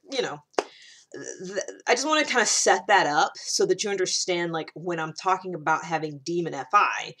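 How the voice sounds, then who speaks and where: A woman's voice: on the low side, fairly bright tone. A woman hosting a series as a casual solo explainer, talking informally and often breaking off into asides. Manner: informal, rambling and self-interrupting, with frequent asides. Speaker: host of a personality-typing series.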